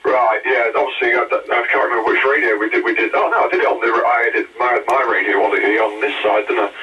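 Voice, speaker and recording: A man's voice: medium pitch, fairly even intonation, a little fast. A man chatting casually over a CB radio transmission. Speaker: amateur CB radio operator. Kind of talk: casual two-way radio chat. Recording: dull, distant CB radio transmission. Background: radio transmission from a parked car.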